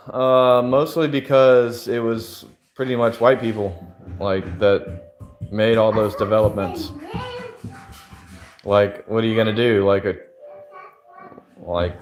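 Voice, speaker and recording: A man livestreaming and answering chat in a loose, rambling way, full of fillers. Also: fairly low pitch. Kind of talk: casual chat.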